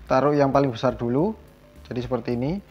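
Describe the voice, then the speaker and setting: A man's voice: medium pitch, quick pace, husky. A man casually narrating a hands-on tutorial, explaining step by step as a vlogger. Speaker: vlogger demonstrating a product installation. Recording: dull lo-fi sound, music behind.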